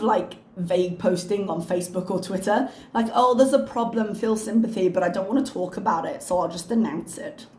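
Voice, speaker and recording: Hoarse woman chatting with wry humor. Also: medium pitch, reverberant. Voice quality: slightly strained. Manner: chatty, wry, self-mocking. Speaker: reaction channel host. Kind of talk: casual chat to camera.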